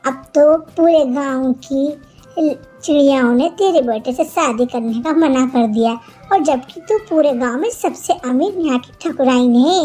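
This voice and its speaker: Woman as a bossy female cartoon bird, high voice, chatty, gossipy, faint music. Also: a little slow, dark tone. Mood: coaxing, gossipy. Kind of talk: Hindi kids' cartoon character voice.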